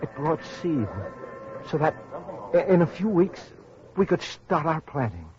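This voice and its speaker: An actor playing a weary, earnest survivor of atomic war, narrating haltingly in an old-time radio drama style. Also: male voice, on the high side, sing-song, a little mellow. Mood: grave, moved. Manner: halting, hesitant, repeating words. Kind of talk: 1940s-50s radio drama acting and narration. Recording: dull old radio recording, music behind.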